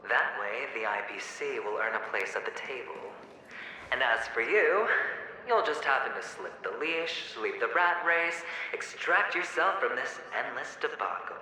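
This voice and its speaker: An actor voicing a cynical male inner double of a gambler in a game cutscene, speaking in a slow, taunting, knowing way. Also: mid-range voice, very animated, bright, forceful tone, heavy reverb, in a large hall, slight background noise, low-quality recording.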